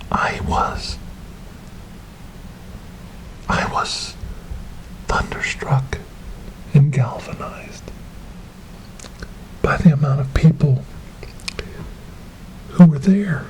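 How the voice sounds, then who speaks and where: A man performing an ASMR monologue as a gentle, grieving storyteller in a witch-trial roleplay, speaking softly and haltingly in a breathy, often whispered voice close to the microphone. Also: a little high, rough recording. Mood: grieving, tender. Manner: slow, halting, earnest, soft-spoken. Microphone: close binaural microphone.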